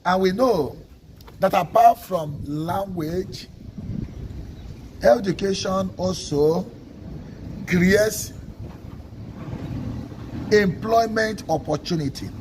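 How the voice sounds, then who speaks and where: A man from Nigeria with a Nigerian accent, an Igbo speaker, giving a measured teaching talk on a livestream and often checking in with "okay?". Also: sits high, noisy background. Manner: measured, stresses single words, asks listeners "okay?". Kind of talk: slow, deliberate teaching talk.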